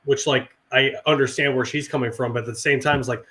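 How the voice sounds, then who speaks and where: A middle-aged man with a hoarse, raspy voice from a cold, rambling and swearing casually in a relaxed chat. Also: mid-range voice, quick pace. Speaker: veteran guest and actor.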